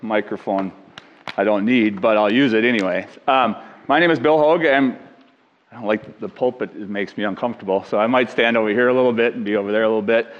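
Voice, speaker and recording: An American man past his younger years preaches informally in a low, loud voice. He speaks plainly and earnestly, like a layman talking to people he knows. The sound is muffled, with long reverb from a big church hall. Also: quick pace. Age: middle-aged or older. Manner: plain-spoken, earnest, conversational. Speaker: guest lay preacher. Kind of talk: informal sermon. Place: large echoing church hall.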